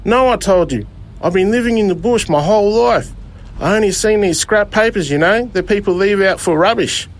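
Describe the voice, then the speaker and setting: An Australian man voicing a naive, eager young man from the bush in a raised, high voice for a storybook. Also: normal speed. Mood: earnest.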